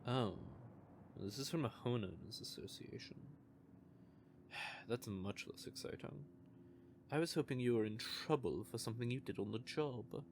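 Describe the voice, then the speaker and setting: A man's voice: mid-range voice, slight background noise. A man playing a smug, teasing male vampire who is playfully menacing, in an audio roleplay.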